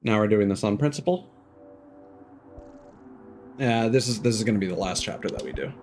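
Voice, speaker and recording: A man's voice: on the low side, moderate pace, a little mellow, soft background music. A man giving live gameplay commentary, thinking aloud and correcting himself in an exasperated, complaining way. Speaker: game streamer.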